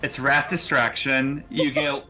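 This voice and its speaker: A man's voice: medium pitch, little pitch movement, unhurried, bright, forceful tone, soft background music, rough recording. A man narrating a tabletop game as game master, improvising and talking players through rules and turns.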